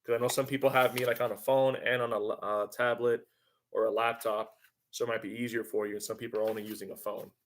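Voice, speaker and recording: A man's voice: mid-range voice, little pitch movement, fast talker, slight background noise. A man teaching a class over a conference call, often repeating words and restarting his sentences. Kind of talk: online class instruction.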